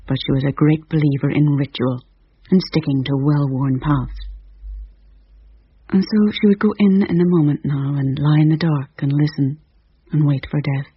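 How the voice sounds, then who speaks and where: A man's voice: a little high, husky, no echo, lo-fi sound. A man narrating a ghost story in a tart, grumbling inner-voice reading.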